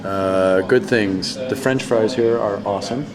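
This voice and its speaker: A man hosting casual interviews in a hotel bar, joking in a deadpan way. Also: fairly low pitch, a little slow. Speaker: host. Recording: crowd chatter. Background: bar crowd.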